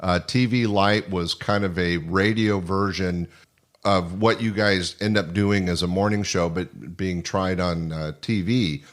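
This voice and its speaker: A man's voice: low voice, fairly even intonation, measured pace. An American man in his sixties hosting a casual interview, reminiscing and affirming his guests. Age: 60s. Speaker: interview host.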